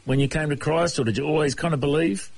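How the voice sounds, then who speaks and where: Man, host, casual interview, friendly prompts, husky, a bit high. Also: fairly even intonation, fast, a little mellow. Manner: friendly, with frequent "mm-hmm" prompts.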